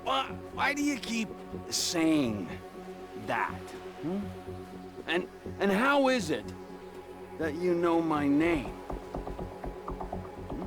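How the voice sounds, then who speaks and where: An actor playing an erratic, menacing drug dealer in a video game, sarcastic and halting, repeating himself for emphasis. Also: male voice, on the high side, huge pitch swings, over music, full character voice. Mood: suspicious, menacing. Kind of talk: video game cutscene acting.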